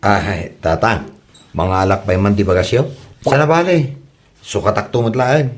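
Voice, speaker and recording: A man's voice: lowish voice, moderate pace, close to an everyday voice. A man playing a playful, amorous young husband full of excuses, with an Ilocano accent in a comedy drama. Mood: pleading.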